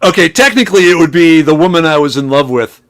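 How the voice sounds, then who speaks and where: A middle-aged man from Minnesota with a slightly high voice, talking as a podcast guest. He tells rambling stories and starts his sentences over often. He sounds like he is on a remote call. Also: animated, fast, a bit bright. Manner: chatty storyteller with frequent restarts. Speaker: podcast guest, chemist and foraging instructor. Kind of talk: casual podcast conversation.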